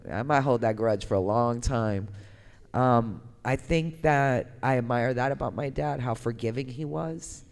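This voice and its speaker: A woman artist giving a candid, conversational talk, speaking in an informal, emphatic and expressive way. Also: deep voice. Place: indoor gallery talk, reverberant room. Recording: echoey hall with long reverb.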